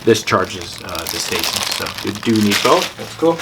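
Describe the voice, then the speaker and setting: A man's voice: on the low side, big pitch swings, moderate pace. A man hosting a casual unboxing review, talking informally and thinking aloud as he handles things. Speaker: gadget review channel host. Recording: distant mic, clearly noisy, handling crinkle.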